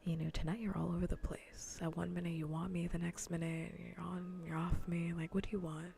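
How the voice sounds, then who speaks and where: A woman livestreamer chatting casually in a soft, breathy, often near-whispered ASMR style, close to the microphone, languid, teasing and blunt. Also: fairly low pitch, little pitch movement, a little fast. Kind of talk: soft-spoken ASMR, casual livestream chat while gaming. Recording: faint background noise.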